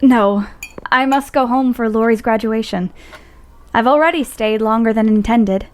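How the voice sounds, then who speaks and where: A woman's voice: fairly high pitch, normal speed, darkish tone, lightly acted. A woman playing an earnest, stubborn young woman writer in a period audio play.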